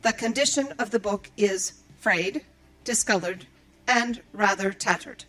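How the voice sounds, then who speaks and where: A woman's voice: mid-range voice, unhurried, sharp tone, rough recording. A woman playing a dutiful, earnest android librarian in an audio drama, speaking in formal, precise, procedural phrases. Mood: flustered, precise. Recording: music behind the voice. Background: music.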